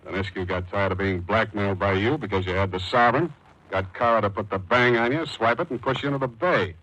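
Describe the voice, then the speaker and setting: An actor playing a tough, wisecracking private detective in a sardonic 1940s radio mystery style. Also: male voice, lowish voice, fast talker, a bit bright, muffled recording. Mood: defiant, sarcastic. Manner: wry, hard-boiled, sarcastic. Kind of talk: 1940s radio mystery acting, with first-person narration. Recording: 1940s radio broadcast with music.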